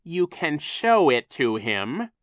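A man's voice: a little high, sing-song, pushed and bright, no room sound, voice pushed up, full character voice. A man voicing a menacing villainous sea captain in an audiobook, sneering and falsely sweet, drawing out his words. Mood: rising anger. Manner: falsely soothing, sneering, drawn-out delivery.

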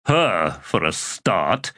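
A man's voice: sits low, sing-song, extremely slow, bright, forceful tone, no room sound, clean studio sound, exaggerated voice. A man voicing Torrent, a weary and defiant young male warrior, in an audiobook reading.

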